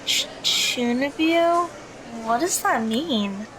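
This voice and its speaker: An actress playing a polite, earnest high school girl in an audio drama, with a measured, matter-of-fact delivery. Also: animated, a crowd in the background, rough recording. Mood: earnest, curious.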